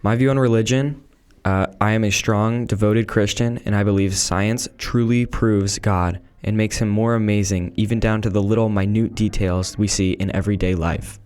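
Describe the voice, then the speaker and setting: A man's voice: fairly low pitch, steady tone, moderate pace, darkish tone, clean tone, natural voice. A man playing a devout male scientist of the 1800s, reading a prepared script aloud in a school podcast role-play. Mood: earnest.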